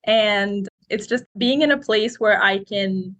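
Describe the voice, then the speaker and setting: A woman in her twenties, raised in Egypt, answering interview questions in a talkative, outgoing, expressive way. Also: mid-range voice, takes it slowly. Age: 20s. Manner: expressive, quotes people in lively voices. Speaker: guest.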